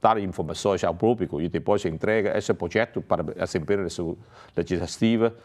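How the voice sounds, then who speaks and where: Very deep-voiced man speaking haltingly. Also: a little mellow. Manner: halting, many fillers and restarts, non-native speech. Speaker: election candidate. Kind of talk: televised political debate. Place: television studio.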